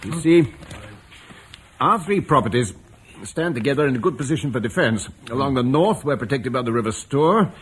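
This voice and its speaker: An actor playing a confident Roman landowner in a radio drama, measured and persuasive. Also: male voice, medium pitch, expressive, a little hiss or hum, close to an everyday voice. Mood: earnest, persuasive. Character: earnest, confident Roman landowner planning a defence. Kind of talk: British radio drama acting.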